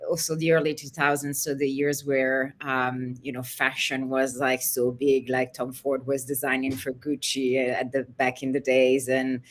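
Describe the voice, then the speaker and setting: A woman's voice: a bass voice, flat delivery, normal speed, few pauses. A middle-aged woman from Italy, a costume designer chatting casually in an interview over a video call, full of "you know" and "like".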